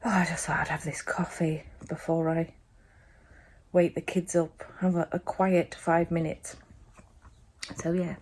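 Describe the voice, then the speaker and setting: Woman, croaky morning voice, low-pitched, rambling casual chat, faint background hiss, sunroom at home. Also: flat delivery, measured pace, a little mellow. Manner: rambling and chatty, many ums. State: croaky, just woken up. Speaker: vlogger, mother.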